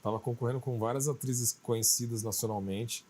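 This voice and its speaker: A man's voice: medium pitch, steady tone, fairly quick, a little mellow, a little hiss or hum. A Brazilian man, a film director, answering interview questions in a conversational way, telling things through little quoted asides. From Brazil, outside Maranhão.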